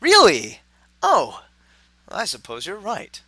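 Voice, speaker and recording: A man's voice: high-pitched, wildly swooping pitch, slow pace, pushed and bright, soft background music, heavily acted. A man playing a pompous, blithely jovial mad doctor in a noir radio drama. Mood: baffled, dismissive. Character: eccentric, oblivious male mad doctor hosting a dinner, blithely jovial.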